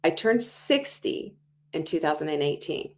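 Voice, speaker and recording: Energetic woman in her sixties. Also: low-pitched, a little slow. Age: 60s. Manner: animated, acts out quoted inner voices, drops to whispers. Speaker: host. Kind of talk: motivational talk show monologue.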